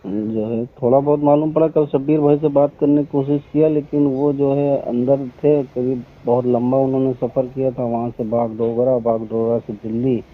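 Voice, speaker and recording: A man on a phone call, calmly asking questions and mostly giving short acknowledgments. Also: medium pitch, moderate pace, few pauses. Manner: calm, mostly brief acknowledgments. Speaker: caller asking about an incident. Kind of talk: phone conversation. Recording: tinny phone call recording.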